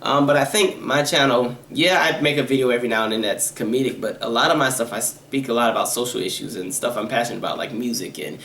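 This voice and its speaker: A man with a high, nasal voice, rambling quickly through viewer questions in a casual vlog. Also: vocal fry, a bit bright, echoey room, a little hiss or hum. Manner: talks fast and rambles, with many fillers and asides. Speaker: YouTuber answering viewer questions.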